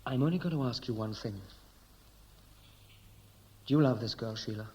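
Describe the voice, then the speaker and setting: A man's voice: mid-range voice, moderate pace, mellow, muffled recording, close to an everyday voice. Cynical homecoming sailor male actor, blunt and dryly sardonic, 1960s radio drama. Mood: blunt, probing. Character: cynical Welsh seaman home after years away.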